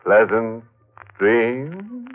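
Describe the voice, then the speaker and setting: An actor playing a ghoulish, gleeful horror-show host with a sly, drawn-out manner, in 1940s radio style. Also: male voice, mid-range voice, huge pitch swings, exaggerated voice. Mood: sly, macabre. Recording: muffled vintage 1940s radio recording, music behind.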